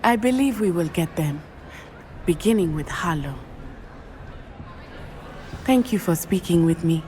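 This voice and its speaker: A woman playing a solemn, determined teenage witch with a southern African accent, speaking in measured, formal video game dialogue. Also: on the low side, lively intonation, a little mellow, a little hiss or hum. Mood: resolute, grateful.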